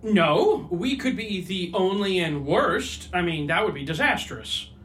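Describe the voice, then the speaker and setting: A man's voice: high-pitched, lively intonation, measured pace, fairly bright tone. A man acting a slippery, easily flustered salesman in a comedic audio drama, glib and evasive, hesitating and then turning pushy. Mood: breezy salesmanship. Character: slippery, flustered male salesman of fake disappearances.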